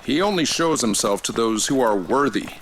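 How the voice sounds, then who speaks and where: A man's voice: mid-range voice, animated, normal speed, a bit bright, slight background noise, natural voice. A man playing a nosy, cryptic older small-town local in an audio drama, folksy and faintly eerie. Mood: ominous.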